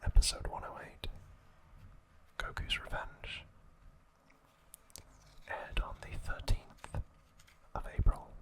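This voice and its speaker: A man narrating a scripted ASMR video in a breathy whisper, with deliberate phrasing in short pieces. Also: pushed and bright. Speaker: host of an ASMR channel.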